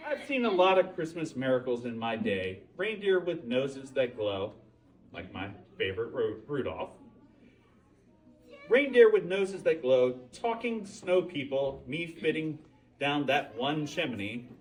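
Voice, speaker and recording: Male storyteller for children's picture book reading, very lively intonation, interactive with listeners, picked up from a distance. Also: medium pitch. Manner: pauses to ask the listeners questions. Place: indoors, library holiday open house.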